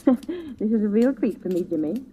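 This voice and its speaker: Chatty fussy neighbor lady, middle aged, very animated, old radio play, noisy tinny sound. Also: a little high, normal speed. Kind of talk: 1940s radio acting.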